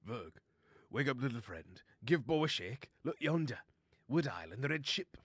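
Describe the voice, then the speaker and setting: A man's voice: fairly low pitch, normal speed, bright, forceful tone, slightly rough, no room sound, studio quality. A man voicing a friendly giant sea lion with the kindly manner of an old sailor, in a storybook. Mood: gentle, urgent. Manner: matey, kindly.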